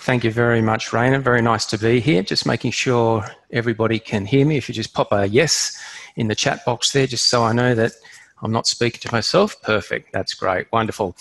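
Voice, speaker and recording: A man's voice: medium pitch, dry recording. Man, Australian accent, middle-aged, webinar presenter, conversational, dry close sound. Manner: relaxed, conversational, slightly hesitant with fillers. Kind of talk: online presentation.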